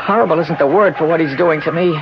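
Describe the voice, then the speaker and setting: A broken, bitter slave strains through agony in a creaky voice. It is seventies radio melodrama, dull and noisy. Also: male voice, on the high side, fast talker. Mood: agonized bitter. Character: anguished broken man enslaved, weak and bitter. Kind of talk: 1970s radio drama acting.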